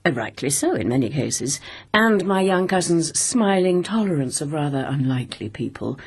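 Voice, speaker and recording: A middle-aged woman acting in a radio drama as a haughty, menacing princess, with a gravelly, husky voice and formal, cutting speech. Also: low-pitched, very animated, normal speed, heavily acted. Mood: silkily sardonic. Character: haughty, menacing imperial princess and protective mother.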